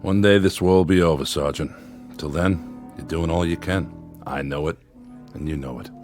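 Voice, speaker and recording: An actor playing a steady, tactful army captain who is protective of his men, in a war radio drama. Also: a bass voice, soft background music. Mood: reassuring. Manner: measured, tactful. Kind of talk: audio drama acting.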